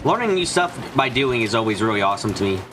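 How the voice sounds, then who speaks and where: A man streaming a visual novel, reading aloud and chatting in an animated, rambling way. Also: medium pitch, quick pace, background noise. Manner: animated, rambling, swears freely. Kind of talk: live game stream, casual chat and dramatic reading. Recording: music behind the voice. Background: game music.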